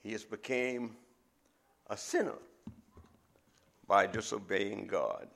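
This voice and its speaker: Bahamian guy preaching, kinda gravelly, drags out his phrases for emphasis. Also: lowish voice, slow pace, a bit bright. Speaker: visiting preacher. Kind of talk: sermon.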